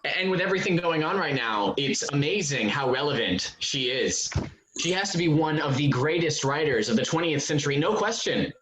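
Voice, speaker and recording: Actor as a lively, smug rich young man. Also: fairly high pitch, moderate pace, background noise, natural voice. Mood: self-important. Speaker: actor in a staged play reading. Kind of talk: virtual staged reading of a comedy play.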